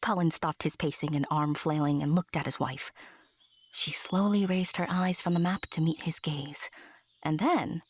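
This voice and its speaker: A woman playing a chatty, whimsical narrator in a fantasy audio drama, breathy and confiding, with playful asides. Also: low-pitched, moderate pace. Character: chatty, whimsical storyteller fond of her enchanted forest.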